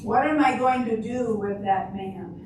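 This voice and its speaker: A woman's voice: medium pitch, unhurried, close to an everyday voice. An older woman playing an exasperated old wife in a comic readers' theater sketch, firm and reproachful in manner. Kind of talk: amateur readers' theater comedy. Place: live stage reading in a roomy hall. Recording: echoey room, distant mic, clear background noise.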